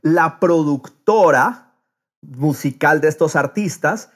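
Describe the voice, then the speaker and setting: A man's voice: on the high side, slow, fairly bright tone. A man hosting a podcast in a casual conversational style, now and then dropping in English words. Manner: drops English words into speech. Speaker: podcast host.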